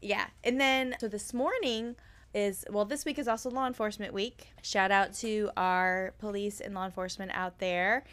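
A woman with a hoarse, raspy voice that is still on the mend, co-hosting a casual true crime chat. She talks in a chatty, animated way as she relays a story. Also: mid-range voice, normal speed. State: hoarse, recovering voice. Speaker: podcast co-host.

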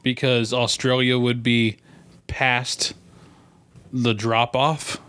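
A man's voice: mid-range voice, level tone, slow, a bit bright, clean tone. A man co-hosting a podcast with casual comedic banter, throwing in blunt, short quips. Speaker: podcast co-host.

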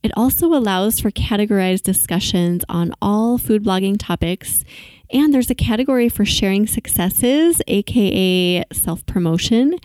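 A woman's voice: mid-range voice, dark tone, dry recording. A woman hosting a podcast, reading promos and introducing a guest with bubbly enthusiasm. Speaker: podcast host. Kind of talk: podcast ads and interview.

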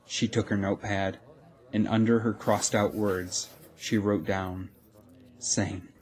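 A man's voice: on the low side, flat delivery, measured pace, darkish tone. A man narrating a scripted audio drama as a storyteller. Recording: crowd ambience and noise behind.

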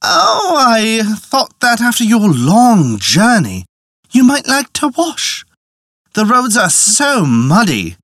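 A man voicing a clever, scheming young village woman in a high, persuasive voice. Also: wildly swooping pitch, slow, fairly bright tone, no room sound, exaggerated voice. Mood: coaxing.

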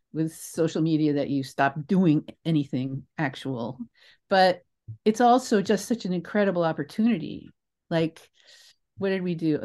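Low-pitched woman in her sixties, rambling storyteller. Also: darkish tone. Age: 60s. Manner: rambling, digressive, emphatic storyteller. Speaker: theatre creator and guest. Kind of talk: conversational interview answers, anecdotal.

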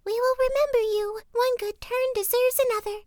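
Helpless baby ravens wail in a thin, very high voice, drawn out and pleading, as a woman reads them out. It is a storybook reading in a dry, close sound. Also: fairly even intonation, darkish tone, no room sound. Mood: pitiful. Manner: wailing, high and slow. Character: high shrill helpless young raven chicks.

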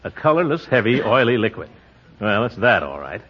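An actor playing a pompous, flustered small-town uncle and official in a 1940s radio comedy, blustering and exclaiming with theatrical hems. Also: male voice, on the low side, sing-song, brisk, breathy edge. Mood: flustered, uneasy. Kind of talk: 1940s radio sitcom acting. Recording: muffled, noisy 1940s radio recording.

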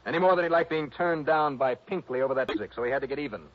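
An actor playing a no-nonsense, wry insurance investigator in a 1960s radio detective drama, speaking in a brusque, insistent way. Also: male voice, medium pitch, animated, rapid-fire, natural voice. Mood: accusing. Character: brisk, wry, hard-nosed male insurance investigator. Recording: muffled vintage radio recording.

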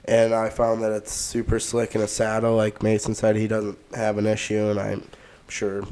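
A man's voice: on the low side, moderate pace. Stuffy-nosed man chatting casually. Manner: relaxed, rambling, many fillers. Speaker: podcast co-host. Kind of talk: casual gear talk.

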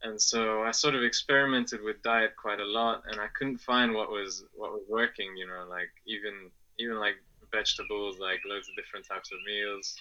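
A man's voice: on the low side, fairly even intonation, moderate pace, few pauses, sharp tone, muffled recording. A man in his twenties from Afghanistan who grew up in England, answering as a podcast guest with many fillers and restarts. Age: 20s. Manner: hesitant, many fillers and restarts, laughs often. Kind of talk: casual interview answers.

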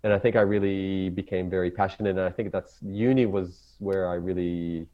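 A man from Israel with an Israeli accent, English not his native tongue, talking casually as a guest and often pausing to search for words. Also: low-pitched, steady tone, lo-fi sound. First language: Hebrew. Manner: halting, searching for words, many fillers and restarts. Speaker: ecologist guest. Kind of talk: casual interview talk.

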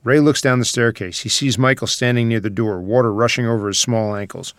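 A man's voice: medium pitch, steady tone, a little fast, clear, resonant tone, no room sound, studio quality. A man reading out screenplay scene headings and stage directions as a scripted narrator.

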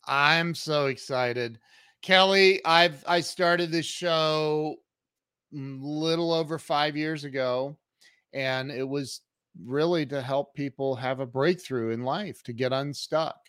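A man hosts a podcast interview with upbeat enthusiasm, often stopping and restarting his sentences. His voice is bright, smooth and fairly high for a man. It sounds dry and close to the mic. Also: takes it slowly, no room sound. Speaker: podcast host. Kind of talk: casual interview chat.

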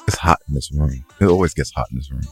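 Low, husky man chatting casually. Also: fast talker, soft background music. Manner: laid-back, slangy, joking. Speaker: podcast co-host. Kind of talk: casual chat.

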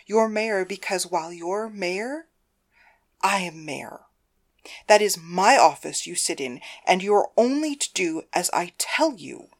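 An audiobook wife acted by a woman who rules her husband with a firm hand. Her voice sits a little low, and she speaks sternly, issuing demands as someone used to control. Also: expressive, slow pace, exaggerated voice. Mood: commanding. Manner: commanding, stern. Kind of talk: audiobook character dialogue.

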